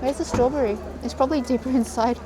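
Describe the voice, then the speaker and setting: A woman's voice: sits high, steady tone, quick pace, noisy background. A woman travel vlogger talking casually to the camera, chatty and upbeat with light giggles. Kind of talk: casual vlog chat to camera. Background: background music.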